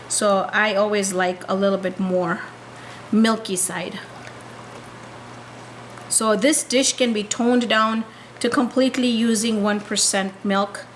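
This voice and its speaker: Woman cooking host in an echoey room. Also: medium pitch. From Tanzania, East Africa. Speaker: home cooking show host. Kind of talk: casual cooking demonstration. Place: indoor kitchen. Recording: echoey room with faint background noise.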